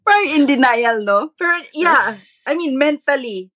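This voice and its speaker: A woman's voice: high-pitched, animated, normal speed, sharp tone. A woman in her forties with a Filipino accent, chatting casually as an interview guest, slipping in Tagalog words and laughing easily. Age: 40s. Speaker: guest, mother.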